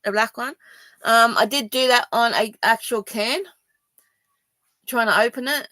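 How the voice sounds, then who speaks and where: A woman's voice: a little high, measured pace, sharp tone, dry recording. An Australian woman from Sydney speaking to viewers in a personal video, rambling and defensive, with many ums and restarts. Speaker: vlogger.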